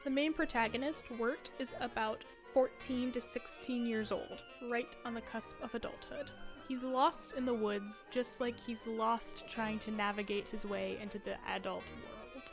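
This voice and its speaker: Female video essayist, twenties, slightly high voice, chatty and wry delivery over light music. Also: fairly even intonation, unhurried. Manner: conversational, wry asides. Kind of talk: casual scripted narration.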